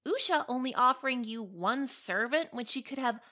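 Woman acting a high, bright, perky heavenly girl. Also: moderate pace, heavily acted. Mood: coaxing. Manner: perky, coaxing, expressive. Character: eager, cheeky young female servant from heaven. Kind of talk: audio roleplay drama acting.

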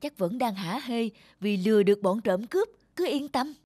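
A sly cartoon thief. He is bossy and scheming, talking in a hushed, conspiratorial way with swooping intonation. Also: sits high, lively intonation, moderate pace, heavy background noise. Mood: scheming, urgent. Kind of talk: cartoon dialogue.

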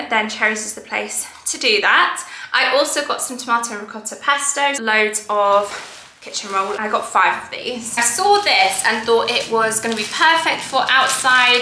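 A young woman talks straight to a camera on a tripod, upbeat and chatty, going through her buys one after another. Her voice sits a touch high and sounds bright and clear. The bare room around her gives a noticeable echo. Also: slight background noise. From England. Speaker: lifestyle vlogger. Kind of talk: sponsored haul vlog, talking to camera. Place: large bare room at home, indoors. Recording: echoey empty room.

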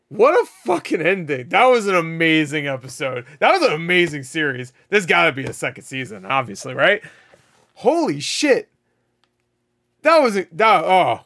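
Excitable swearing man, voice wearing out. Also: high-pitched, no echo, clean studio sound. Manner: excitable, swears a lot, laughs often. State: losing his voice. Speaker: reaction video host. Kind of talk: casual reaction commentary. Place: home room, indoors. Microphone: headset.

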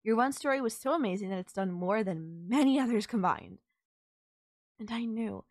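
A woman's voice: medium pitch, lively intonation, normal speed, a little mellow, no echo, studio quality. A woman playing a sultry, possessive kidnapper who gloats over and coaxes a captive in an intimate audio roleplay. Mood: gloating, possessive. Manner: teasing, coaxing, controlling.

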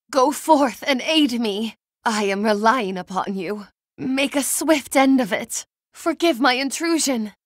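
Meek, gloomy young noblewoman, slow-spoken. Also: fairly high pitch, no echo, clean studio sound. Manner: subdued, apologetic, formal. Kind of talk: video game battle voice clips.

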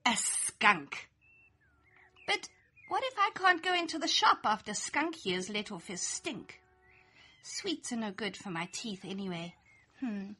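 Bright, animated woman reading aloud. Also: on the high side. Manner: lively, playful, sing-song storytelling. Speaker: storybook reader. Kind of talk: expressive read-aloud children's story in rhyme.

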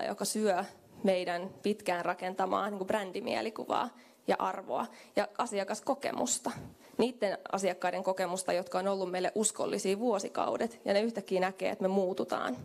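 A woman's voice: medium pitch, creaky, darkish tone. A young woman giving a conference keynote into a handheld microphone in a meeting room, talking in a conversational way with fillers. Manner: conversational, thinks aloud with fillers. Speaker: keynote speaker. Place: hotel conference room with small audience.

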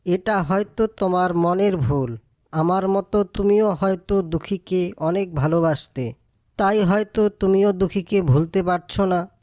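A woman voicing a jackal husband and father who speaks in a measured, gentle way. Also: lowish voice, no echo, close to an everyday voice. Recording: dry close voice.

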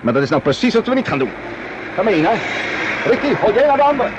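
A man playing a brisk, commanding detective in a Dutch radio play, urgent and authoritative, calm under pressure. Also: sits high. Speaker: radio drama actor. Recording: dull, noisy old radio recording.

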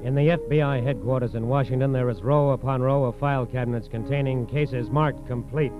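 A man's voice: medium pitch, steady tone, fairly quick. Muffled American male radio crime narrator. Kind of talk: dramatic scripted 1940s radio narration. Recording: very muffled vintage radio recording. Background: music.

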